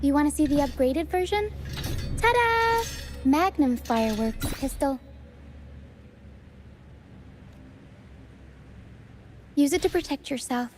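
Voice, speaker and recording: A young female guide from a video game troupe, high in pitch. She is earnest and soft-spoken, with a playful stage flair, over background music. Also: animated, normal speed. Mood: playful, showy. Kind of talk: video game dialogue acting.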